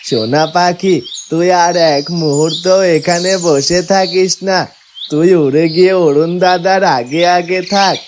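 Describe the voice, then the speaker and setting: A man's voice: high voice, steady tone, slow. A man voicing a loyal, worried friend in a cartoon fairy tale, urgent and earnest. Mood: alarmed, urgent. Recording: clear noise with birdsong.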